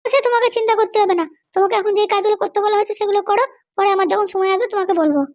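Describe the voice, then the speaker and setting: A middle-aged Bengali wife with an extremely high, childlike cartoon voice. She talks quickly and bossily, nagging and commanding, always suspicious and scheming. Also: steady tone. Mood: irritated, commanding. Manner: nagging, commanding, keeps demanding to be understood. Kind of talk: animated comedy cartoon dialogue.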